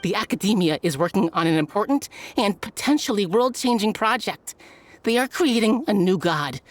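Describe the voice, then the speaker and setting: A woman's voice: mid-range voice, natural voice. A woman playing a nervous captured female underling in a video game, anxious and hesitant.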